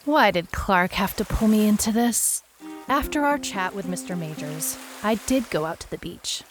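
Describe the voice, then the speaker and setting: A woman's voice: medium pitch, animated, normal speed, music in the background, close to an everyday voice. An actress playing a wry, skeptical private detective, narrating her mystery story in a dry, musing first-person way. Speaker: narrator and lead character of an audio mystery serial.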